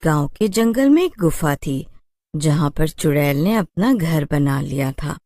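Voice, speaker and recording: A woman narrator reading a scripted story for an animated tale. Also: sits low, measured pace, no echo, studio quality.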